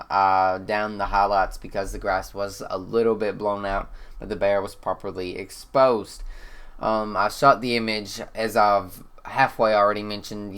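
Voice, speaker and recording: A man with a Kentucky accent, congested and slightly hoarse, explaining one of his photos in a relaxed, rambling way with lots of "um.". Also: lowish voice. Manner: relaxed, rambling, frequent "um" fillers. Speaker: photographer and channel host. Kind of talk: casual talk-to-camera explainer. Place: indoors.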